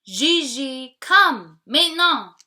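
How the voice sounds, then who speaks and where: A woman voicing a gentle, loving mother who coaxes and soothes her daughter in a picture-book read-aloud. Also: sits high, animated, takes it slowly, pitched above the performer's own voice, heavily acted. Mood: calling, urging.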